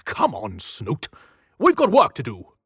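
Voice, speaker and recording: A man voicing a stern older male leader, gruff and authoritative, in a cinematic audiobook. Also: medium pitch, wildly swooping pitch, a little slow, a bit bright, dry recording, studio quality, pitched above the performer's own voice, exaggerated voice.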